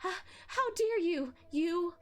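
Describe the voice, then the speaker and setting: A woman voicing a haughty, enraged female immortal elder who spits out her words in shrill indignation. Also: expressive, slow pace, fairly bright tone, faint music behind, exaggerated voice. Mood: outraged. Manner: shrill, indignant, spitting out syllables.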